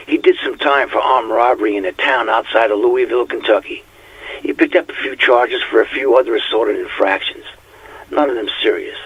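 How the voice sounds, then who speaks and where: A man's voice: mid-range voice, slightly rough, no echo, muffled recording. A man playing a weary, haunted police detective nearing retirement, speaking a reflective, confiding journal entry in an audio drama.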